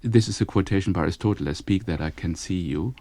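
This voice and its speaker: A man with a German accent gives an interview in a low, dark-toned voice. His English comes in halting, deliberate phrases, recorded very dry. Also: dry recording. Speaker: radio drama studio director, interviewee. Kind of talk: interview explanation.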